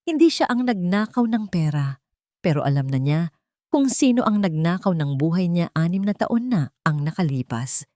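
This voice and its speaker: A Filipino woman narrates a scripted love story with measured, dramatic delivery. Her voice sits somewhat low, and the recording is very dry and close, with hardly any room sound. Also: lively intonation, dark tone, no echo.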